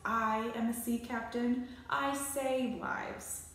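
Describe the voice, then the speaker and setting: A woman's voice: fairly high pitch, slow. A woman voicing a self-important, scornful young boy detective from a storybook, grandly dramatic. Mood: grandiose. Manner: pompous, deadpan grandiosity. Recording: very echoey small bare room.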